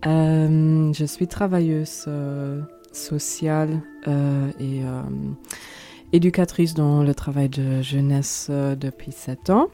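A woman hosting a podcast in a studio, talking hesitantly with many filler pauses. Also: low voice, little pitch movement, dark tone, faint music behind. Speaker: host, social worker and youth educator.

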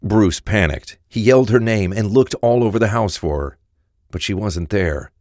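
Deep-voiced man narrating crime fiction. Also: moderate pace, darkish tone, dry recording, clean studio sound. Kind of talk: scripted crime story narration.

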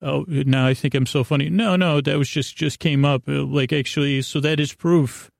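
Gravelly, meandering male podcast host. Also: a little high, fairly even intonation, dry recording, studio quality. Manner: meandering, halting, self-interrupting and stammering; self-deprecating, acting out inner dialogue. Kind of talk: rambling improvised monologue for a sleep podcast.

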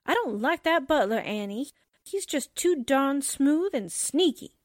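A woman playing a sarcastic, slangy, excitable female sidekick in a radio drama. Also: high voice, measured pace, dry recording, clean studio sound. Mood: suspicious. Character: wisecracking, jumpy, sarcastic female newspaper sidekick.

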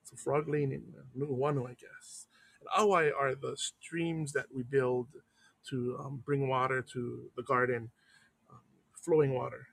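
A man reads a life story at an easy, unhurried pace. He stops now and then to explain a local word in a relaxed aside. His voice sits at a middle pitch, and the recording is dry and close, with no room sound. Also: vocal fry, husky, dry recording, rough recording. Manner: unhurried, pauses to explain Hawaiian words. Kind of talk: reading a biography aloud with casual asides.

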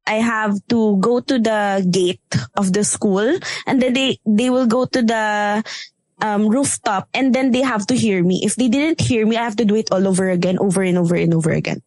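Loud Filipino woman, very dry sound. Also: mid-range voice, no echo. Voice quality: loud, strong voice. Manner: chatty, mixes Tagalog and English with many fillers. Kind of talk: casual chat.

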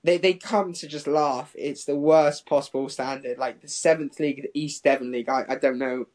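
A man's voice: fairly high pitch, steady tone, normal speed, darkish tone. A man in his twenties who grew up in Hong Kong and England, talking casually as an interview guest in a rambling way peppered with "like". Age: 20s. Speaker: professional distance runner, interview guest.

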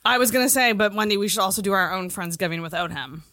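A woman's voice: mid-range voice, fast, pushed and bright, no echo, clean studio sound. A woman co-hosting a podcast, chatting casually with playful slang and plenty of swearing. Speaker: podcast co-host. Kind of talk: casual chat.

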